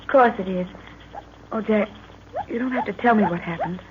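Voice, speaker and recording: An actress playing an anxious, affectionate young heroine in genteel, melodramatic old radio style. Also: female voice, medium pitch, moderate pace, close to an everyday voice. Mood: troubled, confiding. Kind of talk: 1940s radio acting. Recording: muffled, noisy old radio recording.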